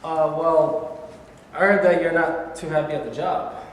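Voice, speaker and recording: An actor reads a blunt, coaxing former boxer in middle age, his voice sitting a little high. He talks plainly and pushes hard. The echoey rehearsal room rings with a long tail and faint hiss. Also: steady tone, a little slow, lightly acted. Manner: blunt, persuasive, working-class. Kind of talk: staged play reading. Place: large bare rehearsal studio.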